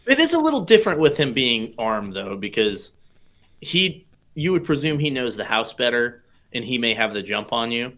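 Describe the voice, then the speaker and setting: Bright-voiced inquisitive man co-hosting. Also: mid-range voice, very animated, moderate pace. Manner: inquisitive, talks through ideas aloud. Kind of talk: casual livestream discussion.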